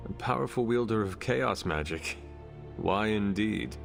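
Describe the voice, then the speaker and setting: A gravelly, stoic warrior hero. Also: male voice, fairly low pitch, animated, faint music behind. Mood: dry, pointed. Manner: formal, deliberate, few contractions. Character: stoic male warrior hero, formal, measured, probing. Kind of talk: video game cutscene acting.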